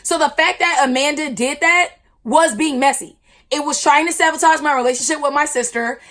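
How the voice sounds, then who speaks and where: A woman in her twenties, a YouTuber telling a storytime with a loud, blunt, slangy delivery. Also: high-pitched, brisk. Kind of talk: storytime vlog.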